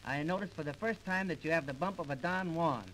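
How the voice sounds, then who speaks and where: A man's voice: a little high, a little fast, a bit bright, a little hiss or hum, rough recording. A man trading comic patter as half of a duo in a 1930s radio comedy. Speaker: comedy duo partner and singer. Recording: early 1930s radio transcription disc.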